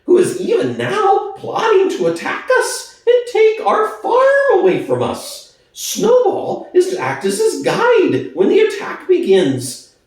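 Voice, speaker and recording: A man's voice: very high-pitched, wildly swooping pitch, takes it slowly, pitched above the performer's own voice, full character voice. A man voicing a nervous, alarmist male pig propagandist, squealing out agitated speeches in an audiobook. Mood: alarmed, urgent. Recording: very echoey room, distant from the mic.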